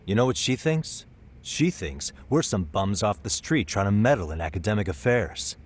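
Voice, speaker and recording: An actor playing a calm, wry private detective in his thirties, explaining things in a measured, casual way in a game drama dub. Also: on the low side. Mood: casual, matter-of-fact.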